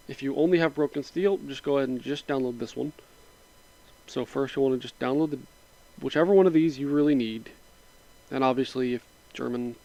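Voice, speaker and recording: A man of middle pitch talks through a setup on his computer in a loose, unhurried way. His voice is a bit raspy from a sinus cold. He drifts between steps with small fillers and asides. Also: poor audio. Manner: relaxed, rambling, with frequent fillers. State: slightly raspy from a sinus infection. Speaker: mod tutorial narrator. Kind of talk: casual screen-recording walkthrough.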